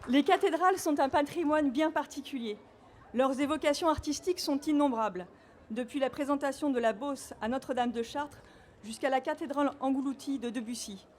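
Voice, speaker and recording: A woman from Normandy giving a measured, solemn official speech at a ceremony. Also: sits high, slight background noise. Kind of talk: formal read speech.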